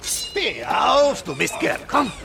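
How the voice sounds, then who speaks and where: An actor playing a brutal, menacing soldier who taunts slowly and emphatically. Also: male voice, very high-pitched, huge pitch swings, vocal fry, very noisy, exaggerated voice. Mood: sneering, threatening. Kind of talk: radio drama dialogue.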